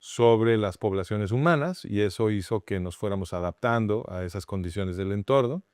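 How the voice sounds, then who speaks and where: A man's voice: fairly low pitch, darkish tone, clear, resonant tone, no room sound, clean studio sound. A middle-aged Mexican man with a Mexican Spanish accent, an anthropologist guest who explains patiently and restarts phrases as he thinks. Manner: explanatory, measured with frequent restarts. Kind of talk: interview conversation.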